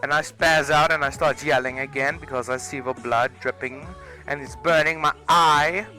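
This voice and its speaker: Young man, sharp forceful voice, casual storytelling, exaggerated delivery, faint background music. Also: on the high side, moderate pace, low-quality recording. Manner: animated, exaggerated comic emphasis. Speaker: video creator.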